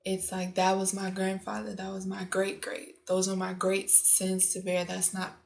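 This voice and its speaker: A nineteen-year-old woman, a student, giving measured and articulate interview answers. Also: medium pitch, monotone, vocal fry, a little mellow. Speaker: audio engineering student. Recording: faint music underneath.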